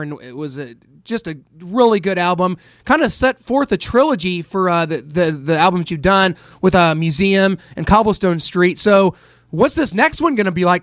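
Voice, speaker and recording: High-voiced chummy podcast host. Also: male voice, no room sound, clean studio sound. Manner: filler-heavy, chummy, drops in "uh" and "man". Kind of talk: casual interview.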